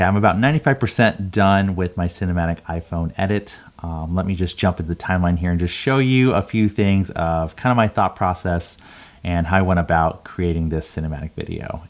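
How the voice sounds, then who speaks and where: A man talking casually as a video creator, explaining his process in a conversational way. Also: low voice. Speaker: video creator and channel host. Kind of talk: casual vlog talk and editing walkthrough.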